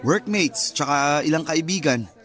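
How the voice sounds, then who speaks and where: Casting: a friendly, laid-back male nurse in a radio serial, lightish tenor pitch over faint music. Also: measured pace, fairly bright tone. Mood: relaxed, friendly. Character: easygoing male nurse meeting his old sweetheart. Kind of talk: radio drama dialogue.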